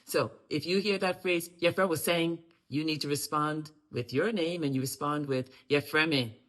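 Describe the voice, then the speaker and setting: A woman leads a friendly greetings lesson to camera. She repeats each phrase slowly so learners can echo it. Now and then she breaks into lively, loud bursts of emphasis. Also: low voice. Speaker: language and culture teacher.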